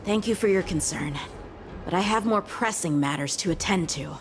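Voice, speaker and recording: An actress playing a polite but sharp young woman lieutenant, in a game cutscene. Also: lowish voice, normal speed, a little hiss or hum, natural voice. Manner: courteous, incisive. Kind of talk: video game cutscene voice acting.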